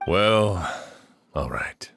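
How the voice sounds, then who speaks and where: An actor playing a world-weary, fair-minded sheriff in an English game dub, speaking in a matter-of-fact manner. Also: male voice, deep voice, expressive, drawn-out words, creaky, a bit bright, faint music behind, full character voice. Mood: relenting.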